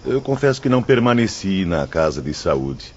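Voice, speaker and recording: A man's voice: low voice, big pitch swings, normal speed. A man with a Brazilian accent playing a remorseful former gambler's tormented spirit in an audiobook drama, confessional and dramatic in formal diction. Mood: anguished confession. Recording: dull audio, faint ambient noise.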